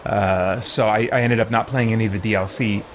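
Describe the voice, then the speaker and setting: A man's voice: on the low side, fairly even intonation, a little fast, noisy background. A man hosting a game livestream in casual chat, rambling and thinking aloud as he goes. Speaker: live streamer hosting a game playthrough.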